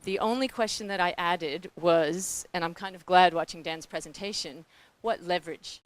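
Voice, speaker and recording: A middle-aged woman with a New Zealand accent gives a panel talk about grantmaking. She is blunt and candid, animated, and often speaks straight to the audience. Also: medium pitch.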